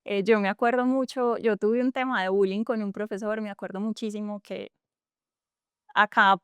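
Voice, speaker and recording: A woman's voice: medium pitch, steady tone, moderate pace, a bit bright, clear, resonant tone, dry recording. A woman in her thirties from Colombia's coffee region, speaking with its accent as an interview guest, earnest and reflective with many fillers and restarts. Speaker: guest, tech entrepreneur.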